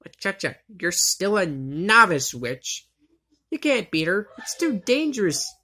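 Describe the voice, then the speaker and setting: A woman's voice: mid-range voice, sing-song, a little slow, bright, forceful tone, husky, full character voice. A woman voicing a loyal, grumbling boy companion in an amateur anime fandub, cautioning and reluctant. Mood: worried.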